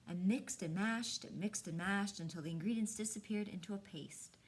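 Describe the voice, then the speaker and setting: A woman reading a storybook aloud expressively, with dramatic pauses and hushed emphasis. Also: medium pitch, breathy edge. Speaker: storybook reader.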